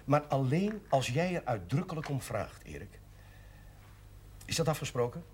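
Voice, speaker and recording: An actor playing a genial, authoritative parish priest, paternal and persuasive, in a 1970s Dutch television drama. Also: male voice, medium pitch, sing-song, moderate pace, noisy background, natural voice. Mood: firm, persuasive.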